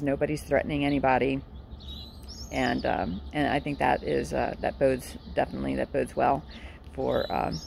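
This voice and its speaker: A woman hosting her own commentary vlog, talking earnestly in a casual, halting way with many fillers and restarts. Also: low voice, vocal fry, darkish tone, slight background noise. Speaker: political commentary vlogger.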